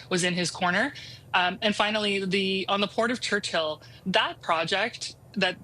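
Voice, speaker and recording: A woman offering political commentary on a television panel, brisk and assured. Her voice is bright and forward, set a little low. Faint room noise sits behind her. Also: poor audio.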